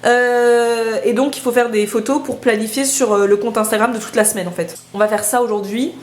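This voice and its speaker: A woman's voice: fairly high pitch, fairly even intonation, a little fast, echoey room, a little hiss or hum. A young woman with a head cold chatting casually in a home vlog, with lots of hesitations. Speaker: vlogger. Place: at home indoors.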